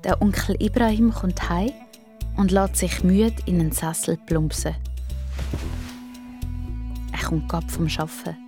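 A woman with a Swiss German accent narrating a children's story in simple, deliberate phrases. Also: lowish voice, mellow, soft background music.

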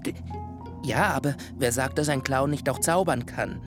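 A man playing a creepy, jumpy circus clown in a radio play, with an odd, halting manner. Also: medium pitch, music in the background, close to an everyday voice. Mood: nervous, evasive.

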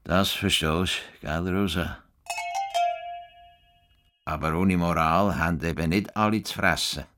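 A man's voice: low voice, steady tone, unhurried, pauses often, very noisy. A man acting a stubborn, idealistic, cantankerous farmer with a Swiss German accent in a radio play. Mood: musing, low. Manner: blunt, swearing, grumbling.

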